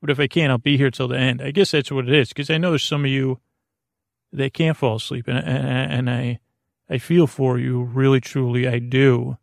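A man hosting a bedtime podcast in a creaky voice, meandering and halting as he loses his thread and circles back. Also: medium pitch, fairly even intonation, no room sound, clean studio sound. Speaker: podcast host. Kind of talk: rambling bedtime podcast monologue.

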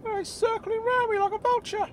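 Really high squeaky fussy older character, nervy and grumbly, comic story, faint rumble behind. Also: male voice, level tone, a little fast. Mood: panicked. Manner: fussy, anxious, hushing and protesting. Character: fretful, indignant older companion Claudie, timid and grumbling. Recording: faint rumbling background noise.

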